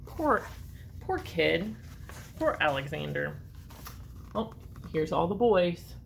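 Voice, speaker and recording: A woman reading a children's storybook aloud with expressive emphasis and short asides to her listeners. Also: lowish voice, slow, vocal fry, a little hiss or hum. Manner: expressive, dramatic emphasis on key words.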